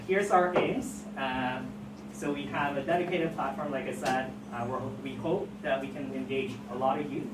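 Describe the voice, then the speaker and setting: An event host with a halting, informal way of speaking, making announcements to open a gathering. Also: medium pitch. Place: indoor event room, recorded from afar. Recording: echoey distant room recording, loud noise.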